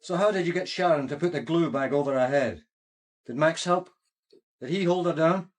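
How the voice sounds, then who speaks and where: A man's voice: a little high, moderate pace, close to an everyday voice. An actor playing a male police detective who interrogates a suspect with blunt, accusing questions in a naturalistic radio crime drama. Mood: pressing. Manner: blunt, pressing.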